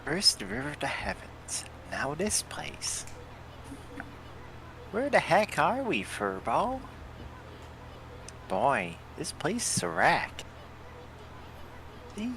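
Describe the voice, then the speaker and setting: A man's voice: a little high, huge pitch swings, slow, vocal fry, long pauses, pushed and bright, a little hiss or hum. A man hosting a game playthrough, reading a companion's lines aloud in a playful way with friends. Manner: playful, voicing a companion character. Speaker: let's play host.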